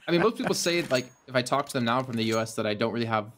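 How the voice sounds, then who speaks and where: A man's voice: medium pitch, lively intonation, very fast, a bit bright. A man casting a competitive shooter match, trading teasing, dry banter with his co-caster between rounds. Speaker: esports caster. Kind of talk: banter and play-by-play commentary.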